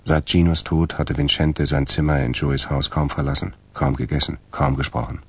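A man narrating a radio crime drama from a script in a gravelly voice. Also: very deep voice, fairly even intonation, moderate pace. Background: music.

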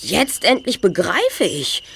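A woman's voice: medium pitch, wildly swooping pitch, slow, fairly bright tone, heavily acted. A woman playing a clever, confident teenage boy detective who explains precisely in an audio drama. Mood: confident, reasoning. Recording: faint noise, chirping crickets.

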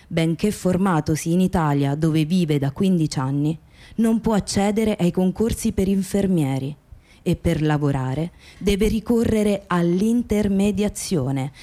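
Narratrice dalla voce scura e grave. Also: female voice, lowish voice, mellow. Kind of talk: scripted documentary narration for radio theatre.

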